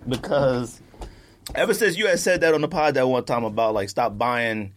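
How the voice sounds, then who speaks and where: A man's voice: medium pitch, normal speed. A man co-hosting a podcast, telling stories in casual slangy banter and acting out what people said. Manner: animated, slangy storyteller who acts out quotes. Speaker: podcast co-host.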